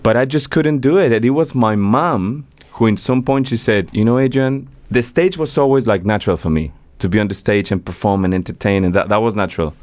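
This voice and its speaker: Lively Mexican-born man in a dry studio. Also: fairly low pitch, fairly quick, hardly any pauses, no room sound. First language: Spanish. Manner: animated storyteller, laughs often. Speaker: singer-songwriter guest. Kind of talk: casual interview chat.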